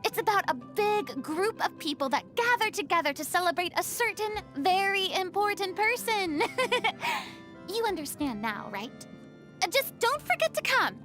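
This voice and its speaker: A woman's voice: extremely high pitch, fairly bright tone, full character voice. A woman voicing a theatrical, proud yet secretly wistful young former deity in a game, with refined, dramatic diction. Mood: delighted, flustered. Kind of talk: scripted game character voice lines.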